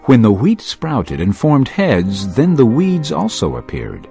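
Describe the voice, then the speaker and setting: An actor playing an earnest man teaching crowds in parables, in a measured storytelling delivery for a dramatised audio Bible. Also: mid-range voice, wildly swooping pitch, gravelly, warm tone, heavily acted. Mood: instructive. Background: music.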